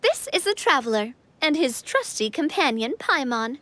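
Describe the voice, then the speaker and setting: An actress playing a confident, playful young woman leader in a video game, with a breezy, expressive manner. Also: high voice, normal speed, no echo. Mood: amused. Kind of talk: English video game voice acting.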